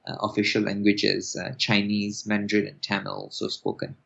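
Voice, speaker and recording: A man in his forties from Singapore, an applied linguist answering interview questions in a measured way with many fillers and restarts. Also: fairly low pitch, monotone, gravelly, a bit bright, slightly rough. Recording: dull lo-fi sound.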